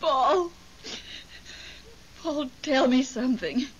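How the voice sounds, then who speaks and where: An actress playing a grieving, tearful widow with halting, repeated words in a 1970s radio drama. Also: female voice, high voice, expressive, husky, exaggerated voice. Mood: tearful, tender. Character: tearful grieving widow speaking to her husband's ghost. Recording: dull, noisy old radio recording.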